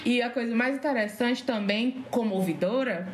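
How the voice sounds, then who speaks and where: A woman with a Cearense accent from Brazil talks casually and with great enthusiasm. Her voice sits a little high. The recording is roomy and echoey, with faint noise behind it. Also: normal speed. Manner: gushing, enthusiastic. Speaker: podcast guest, slam poet. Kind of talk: casual chat.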